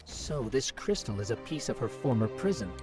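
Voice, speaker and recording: A man voicing a small, curious robot companion in a video game, asking quick, inquisitive questions. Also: medium pitch, very animated, over music, natural voice. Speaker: Ghost companion to the player. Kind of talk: video game cutscene voice acting. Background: orchestral game score.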